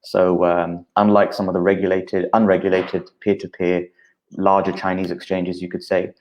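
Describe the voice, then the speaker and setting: A man in his thirties with a low, deep voice. As a guest he explains things steadily, with frequent uh fillers, and his pitch stays even and flat the whole time. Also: moderate pace, a little hiss or hum, low-quality recording. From United Kingdom. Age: 30s. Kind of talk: explanatory interview talk.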